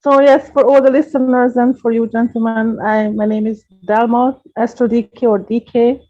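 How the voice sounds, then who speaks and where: Guest read: woman, earnest and measured, slightly high voice, dull lo-fi sound. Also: level tone. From Hungary. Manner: earnest, measured, gently repeats words. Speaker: astrologer guest. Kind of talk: interview answers, explaining at length. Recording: dull lo-fi podcast audio.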